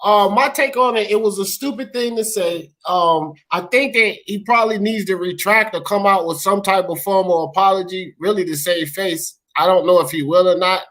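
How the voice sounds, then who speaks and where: A man's voice: sits high, moderate pace, few pauses, a bit bright. An American man hosting a casual sports talk show, blunt and slangy, swearing freely and emphatically. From United States.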